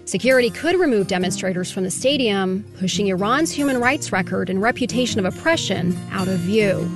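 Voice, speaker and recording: A woman reporter narrating a scripted radio news story in a measured, even delivery. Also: medium pitch, music in the background.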